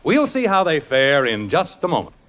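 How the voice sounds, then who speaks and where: A man's voice: a little high, wildly swooping pitch, moderate pace, a bit bright, lo-fi sound. A man narrating a 1950s radio comedy as a genial storyteller, from an old broadcast. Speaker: program narrator.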